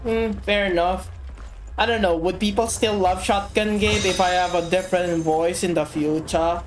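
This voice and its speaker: A woman from the Philippines streaming a game, chatting casually and getting excitable as she plays. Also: on the low side, moderate pace. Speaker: VTuber streamer. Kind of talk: casual gaming chat. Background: game music.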